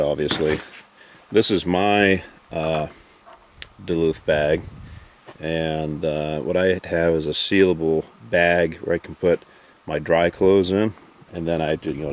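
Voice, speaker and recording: A man in his forties with a very deep, low voice talks his way through camping gear at a lakeside campsite. He lists items in a relaxed, meandering way, filling gaps with "uh" and "you know". Handheld camera audio with clear outdoor noise behind him. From Maine, US. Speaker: canoe trip vlogger. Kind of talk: casual gear walkthrough.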